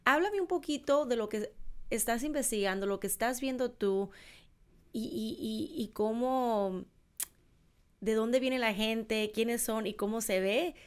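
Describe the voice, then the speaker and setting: A woman hosting a podcast, asking long questions with halting restarts. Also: fairly high pitch, smooth. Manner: warm backchannels, halting restarts. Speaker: podcast host. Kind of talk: interview questions.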